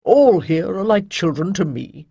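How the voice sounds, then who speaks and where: Narrator doing wise old guy, patient, husky, kinda lecturing. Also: mid-range voice, animated, measured pace, warm tone, no room sound, exaggerated voice. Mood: kindly. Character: elderly wise male master, calm and kindly.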